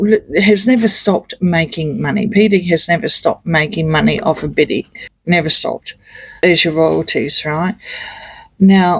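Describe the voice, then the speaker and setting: A man with a croaky voice, doing a rambling, hesitant tarot reading full of fillers and restarts. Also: fairly high pitch, dry recording. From Australia. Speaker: tarot reader. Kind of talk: casual tarot reading monologue.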